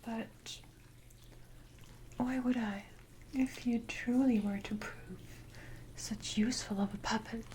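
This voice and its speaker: Siren, female performer: haughty sea predator for ASMR roleplay, soft dark whisper, unhurried and condescending, dripping water behind. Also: on the high side, steady tone. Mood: taunting, disdainful. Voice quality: breathy, mostly unvoiced whisper. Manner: slow, deliberate, condescending, archaic phrasing. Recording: noisy ambience with water drips.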